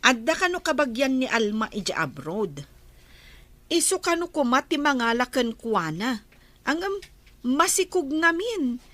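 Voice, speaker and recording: A high, animated female voice playing a chatty village gossip as a woman acts her. She relays news haltingly in radio-drama style, recorded close and dry. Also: no room sound, heavily acted.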